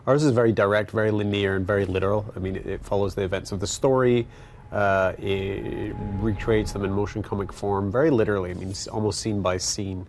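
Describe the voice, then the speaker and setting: Gravelly low male interviewee. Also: fairly even intonation, fairly quick, a little mellow, slight background noise. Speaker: writer. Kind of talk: behind-the-scenes interview.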